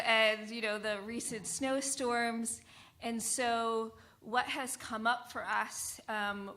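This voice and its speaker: Casting: woman, community radio panelist, unhurried pace, mid-range pitch, earnest and measured delivery. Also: a bit bright. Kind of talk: panel discussion, unscripted talk.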